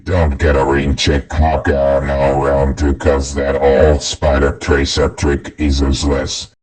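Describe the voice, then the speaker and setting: A man voicing a gloating, murderous monster supervillain in a comic dub, raspy and menacing. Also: very deep voice, completely flat delivery, a little mellow, full character voice. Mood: menacing, taunting. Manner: menacing, drawn-out, taunting.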